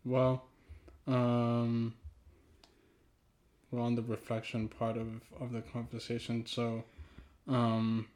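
A man's voice: mid-range voice, monotone, darkish tone. A man from Ghana whose mother tongue is Twi, hosting a casual, reflective podcast conversation in a hesitant, thoughtful manner. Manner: hesitant, thoughtful, trailing pauses. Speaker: podcast host.

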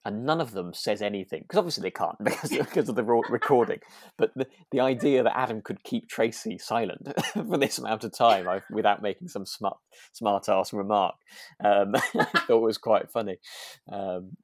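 A man's voice: lowish voice, moderate pace, no echo, clean studio sound. A man co-hosting a podcast, chatting casually and rather tentatively about a radio drama. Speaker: podcast co-host.

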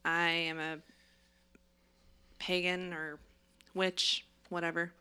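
A young woman with a somewhat low voice chats casually on a podcast from a home studio. She talks with fillers and drops the odd swear word. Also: little pitch movement, takes it slowly, sharp tone, smooth. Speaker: podcast co-host. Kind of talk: casual chat.

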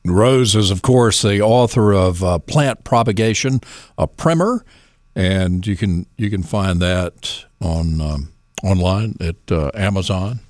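A man hosting a call-in garden radio show, talking loudly and jokily with callers and guests. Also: sits low, slow, no echo. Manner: loud, lively, jokey.

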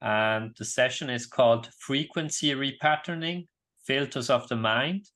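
A man's voice: medium pitch, unhurried, a bit bright. A man hosting an interview, politely closing it and reading out details of free offers. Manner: polite, formal, reads out details. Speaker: interviewer and event organizer.

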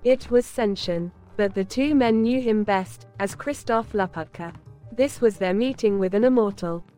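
A woman's voice: mid-range voice, normal speed, over music, close to an everyday voice. A woman narrating an audio drama in a scripted storytelling style. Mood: dramatic storytelling.

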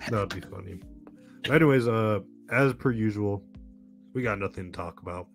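A man hosting a casual podcast, with a raspy, smoker-like voice and a monotone, joking delivery. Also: on the low side, normal speed, faint music behind. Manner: monotone, joking, says "dude" a lot. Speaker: podcast host. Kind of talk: casual chat.

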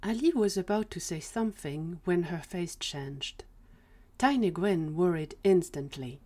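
A woman's voice: on the low side, a little slow, darkish tone. A woman narrating a scripted fiction story as the narrator of an audio drama.

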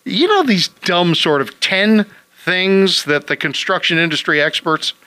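A man's voice: high voice, animated, a little slow, pushed and bright, dry recording, poor audio. A man hosting a home-improvement radio call-in show, chatting casually and cracking jokes. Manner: joking, wisecracking.

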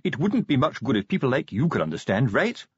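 A man's voice: medium pitch, big pitch swings, fairly quick, breathy edge, no echo, voice pushed up. A man voicing a stout, plodding male watch sergeant who talks earnestly and tries to sound official. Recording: dull lo-fi recording.

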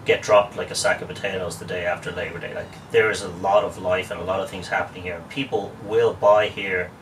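Dublin-accented man, 40s, low voice, chatty joking guest, slightly distant mic. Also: steady tone, fairly quick, noisy background. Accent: Irish, Dublin. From Ireland. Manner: chatty storyteller, wry jokes, frequent restarts. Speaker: guest, real estate expert. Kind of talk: casual interview chat.

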